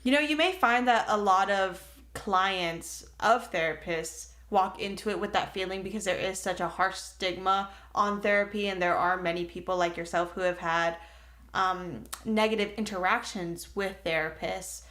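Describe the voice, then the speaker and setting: A woman's voice: medium pitch, fairly bright tone, close to an everyday voice. A woman playing a mild, formal, reassuring therapist in an improvised podcast comedy bit. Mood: measured, reassuring. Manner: measured, clinical, reassuring.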